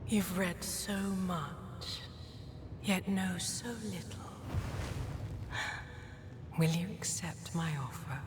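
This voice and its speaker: A regal demon mother from a dark fantasy game. Her voice is low and very slow, breathy and often whispered, seductive and imperious. A long reverb surrounds it, over music. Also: background noise. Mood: cool, knowing.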